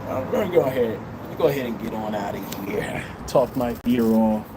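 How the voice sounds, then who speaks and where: A man's voice: fairly low pitch, expressive, fairly quick. A man in his fifties hosting a livestream from a city balcony, giving blunt, preachy opinions straight to his viewers. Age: 50s. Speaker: livestream host. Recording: loud outdoor street noise. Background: city traffic and outdoor noise.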